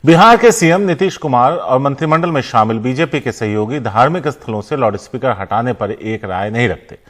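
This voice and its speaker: A man's voice: medium pitch, animated, brisk, a bit bright. A man reading scripted television news. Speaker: news presenter.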